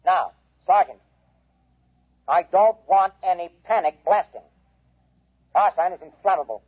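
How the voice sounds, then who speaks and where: Brisk, husky army major on tinny radio. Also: male voice, sits high. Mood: tense, commanding. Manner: brisk, authoritative. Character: brisk commanding army major briefing a suited team. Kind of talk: 1950s radio drama acting. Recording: tinny, phone-like 1950s radio recording.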